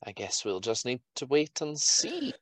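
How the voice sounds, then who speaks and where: A man's voice: mid-range voice, huge pitch swings, a little slow, no room sound. A man in his twenties talking casually as a co-host on a podcast. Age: 20s.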